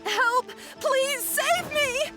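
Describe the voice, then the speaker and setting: A woman's voice: very high voice, very slow, over music, exaggerated voice. A woman playing a polite, earnest, formal scholar in an anime game. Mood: panicked.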